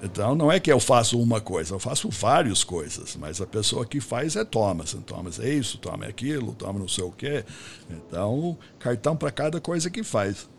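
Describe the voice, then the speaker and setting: A man's voice: fairly low pitch, big pitch swings, brisk, creaky, a little hiss or hum. Older man hosting, rambling chat. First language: English. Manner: rambling, checks in with "tá?". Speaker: podcast host. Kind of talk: casual interview chat.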